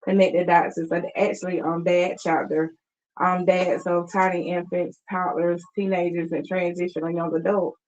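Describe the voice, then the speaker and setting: A woman's voice: lowish voice, flat delivery, moderate pace, darkish tone, dull audio. A woman guest on a radio talk show describing her outreach work, hesitant with many ums, plain and sincere. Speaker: guest, community outreach worker. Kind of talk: interview talk.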